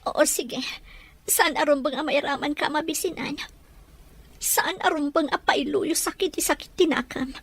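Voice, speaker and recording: A woman as a heartbroken high-voiced mother. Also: steady tone, moderate pace. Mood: sorrowful. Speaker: mother figure. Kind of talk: Ilocano audio drama acting.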